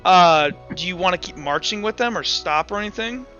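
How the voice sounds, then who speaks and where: Male game master, halting informal narration, sharp bright voice, slightly muffled recording. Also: high voice, lively intonation. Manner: halting, repeats and restarts phrases. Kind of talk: improvised tabletop game narration. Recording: muffled online voice call with background music.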